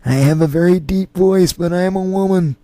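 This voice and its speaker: A man prank-calling while putting on a woman who claims her voice is deep and loud, insistent and repeating himself on a tinny phone line. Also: brisk, no echo, natural voice. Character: gruff woman insisting she has a deep voice. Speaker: prank caller.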